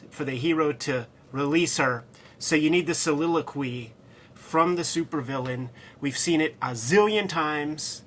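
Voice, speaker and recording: A man's voice: a little high, fairly bright tone, slight background noise. A man co-hosting a casual comics discussion, enthusiastic and slangy, leaning hard on his points. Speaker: co-host.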